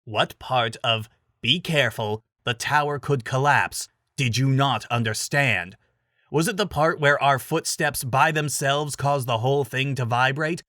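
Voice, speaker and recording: A man voices a grumpy robed wizard from a fantasy tale. He talks with dry sarcasm, at a middling pitch. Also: unhurried, bright, forceful tone, no room sound. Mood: exasperated. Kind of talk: dramatic fantasy story reading.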